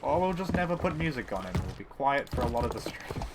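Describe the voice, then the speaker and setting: A man's voice: medium pitch, very animated, fast, heavy background noise. A man chatting casually as he live streams a game, by turns muttering to himself and teasing his viewers. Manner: playful, teasing banter with chat. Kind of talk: casual gameplay stream chat.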